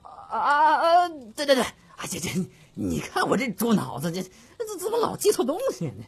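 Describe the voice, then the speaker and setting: A man's voice: very high-pitched, wildly swooping pitch, moderate pace, creaky, husky, dry recording, pitched above the performer's own voice, exaggerated voice. A man voicing a naive, blurting young disciple with a whiny, stammering manner in an audiobook. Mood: sheepish, flustered. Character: dim, blurting young male disciple, sheepish and eager.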